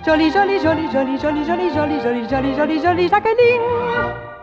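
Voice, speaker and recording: A woman sings an old-time popular song in a high voice. Loud music accompanies her throughout, and the dull, lo-fi sound is that of an old radio recording. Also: lively intonation, quick pace. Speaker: singer.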